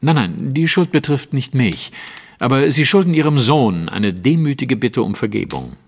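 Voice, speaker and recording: An actor playing a composed, commanding Victorian detective in a German audio drama, speaking precisely and with theatrical authority. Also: male voice, mid-range voice, big pitch swings, normal speed, big-room sound. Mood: stern. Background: music.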